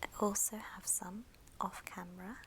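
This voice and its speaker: A woman whispering gently and breathily in an unhurried ASMR show-and-tell style. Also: medium pitch, little pitch movement, slight background noise. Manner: gentle, unhurried, soft-spoken. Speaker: ASMR video creator.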